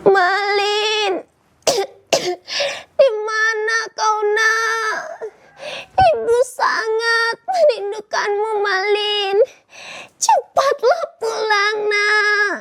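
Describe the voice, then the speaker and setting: A woman's voice: very high voice, very slow, sharp tone, pitched above the performer's own voice, heavily acted. A girl voicing an aging mother who pleads tearfully for her son to stay and later to come home. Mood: tearful pleading.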